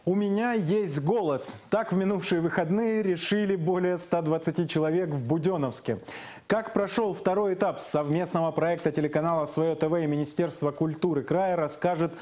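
Easygoing male TV host, lightly raised pitch. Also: moderate pace, a little mellow. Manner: easygoing, brief prompts. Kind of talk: live studio interview. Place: TV news studio. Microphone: lapel mic.

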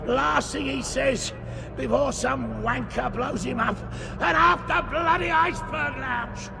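A high, squawky British gangster boss. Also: male voice, takes it slowly, creaky, music in the background, heavily acted. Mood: angry, agitated. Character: agitated, coarse British male gangster boss. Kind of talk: video game voice acting.

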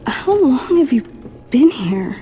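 A woman's voice: high-pitched, sing-song, takes it slowly, warm tone, full character voice. An actress playing an earnest, determined teenage girl in a video game, speaking in an eager, insistent way. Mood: curious. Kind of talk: naturalistic video game cutscene acting.

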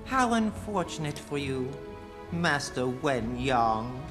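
Coldly arrogant young game general, highish swooping delivery, mocking, slow and deliberate, loud music behind. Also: male voice, very animated. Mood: smug mockery. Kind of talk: dramatic game cutscene acting.

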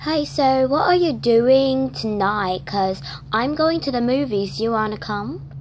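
A woman's voice: on the high side, animated, a little slow, slight background noise. A woman voicing a bossy girl doll in a put-on British accent, pushing her friend around. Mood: breezy. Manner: pushy, demanding.

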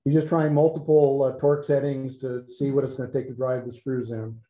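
A man's voice: medium pitch, flat delivery, fairly quick, mellow. A man co-hosting a woodworking show, chatting casually with joking asides. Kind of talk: casual chat. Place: woodworking shop indoors. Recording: echoey workshop.

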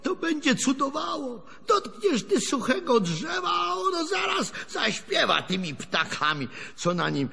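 An actor playing a crusty, bawdy old country grandfather in a radio play, with a creaky voice and a folksy, scolding, cajoling manner. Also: very high-pitched, big pitch swings, measured pace, few pauses, pushed and bright, raised voice, slight background noise, exaggerated voice. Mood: excited, urging. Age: older. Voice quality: gravelly, creaky. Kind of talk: radio drama acting. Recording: music playing under the voice.

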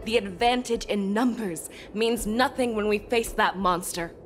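An actress voicing a calm, commanding female general who lays out strategy in a measured, lecturing way for a game cutscene. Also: medium pitch, lightly acted. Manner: measured, lecturing, hushed at times. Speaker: military general.

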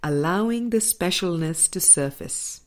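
Slow, low-pitched woman reading an audiobook. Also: expressive. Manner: measured, deliberate phrasing. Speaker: audiobook reader. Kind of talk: scripted audiobook narration of a spiritual text.

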